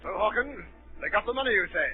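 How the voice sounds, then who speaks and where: A man's voice: sits high, expressive, quick pace, husky, soft background music. An actor playing a bluff, hearty sergeant who barks his lines in 1930s radio drama style. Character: bluff, hearty officer, a sergeant giving orders. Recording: tinny, noisy 1930s radio broadcast.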